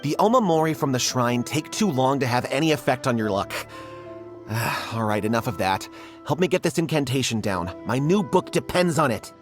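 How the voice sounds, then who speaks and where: Man playing an animated, earnest struggling writer. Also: medium pitch, normal speed, music in the background, close to an everyday voice. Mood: impatient. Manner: earnest, pleading, dramatic. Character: earnest, struggling male writer, hopeful and pleading. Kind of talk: anime game voice acting.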